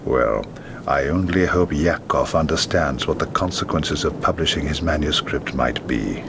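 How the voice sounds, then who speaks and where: Dry ironic man, deep voice, rumbling noise behind. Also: expressive, normal speed, vocal fry, heavily acted. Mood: probing. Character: wry, self-mocking man, worldly and probing. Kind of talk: film drama dialogue.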